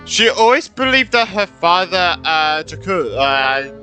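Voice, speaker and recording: A casual game streamer reacting aloud during play. The voice is bright and swings widely in pitch, with muttered asides and swearing. Soft game music runs underneath. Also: sing-song, a little slow. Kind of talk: casual gameplay commentary.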